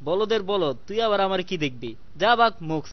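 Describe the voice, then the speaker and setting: A man's voice: high-pitched, expressive, moderate pace, lo-fi sound. A man with a Bangladeshi accent voicing a sly, lazy, grumbling cartoon cat in a colloquial comic dub. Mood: mocking. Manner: exclamatory, mocking, complaining.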